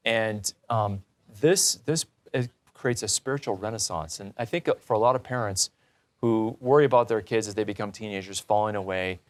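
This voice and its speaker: Man, low voice, interview host, conversational, reflective, religious talk. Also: normal speed.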